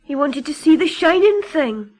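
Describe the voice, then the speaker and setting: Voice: high-pitched, very animated, moderate pace, darkish tone, low-quality recording. A performer playing an innocent young country boy in a radio drama, speaking simply and childishly. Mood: subdued.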